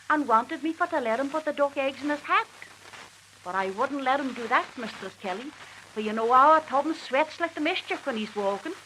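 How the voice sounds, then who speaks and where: Bright, high-voiced Manx country wife played by a woman, crackly recording. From Isle of Man. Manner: animated, confiding, repeatedly addressing her listener. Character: talkative, exasperated Manx country wife gossiping to neighbour. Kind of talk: dialect storytelling recitation. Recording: hissy, crackly 1940s archive recording.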